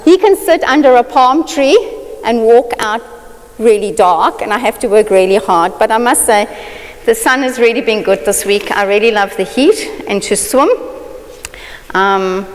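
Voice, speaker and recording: A woman pastor with a South African accent, telling personal stories in a chatty, anecdotal sermon. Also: medium pitch. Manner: chatty, anecdotal, self-deprecating. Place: church sanctuary. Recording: reverberant church hall.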